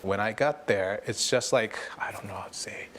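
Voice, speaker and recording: A man with a Japanese accent and a fairly low voice answers as an interviewed executive. His phrasing is loose and informal, in a conversational style. There is some ambient noise behind him. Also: moderate pace, a bit bright. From Tokyo, Japan. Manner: informal, loosely phrased with repeated "actually" and "you know". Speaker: company founder and CEO being interviewed.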